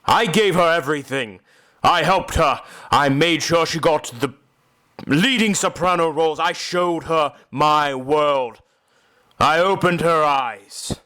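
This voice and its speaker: A man playing a brooding, commanding opera ghost in an audio drama, speaking in a theatrical, deliberate way. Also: fairly high pitch, sharp tone. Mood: bitter. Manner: slow, theatrical, deliberate delivery. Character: brooding, menacing masked male opera ghost, commanding and bitter. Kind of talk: amateur audio drama acting.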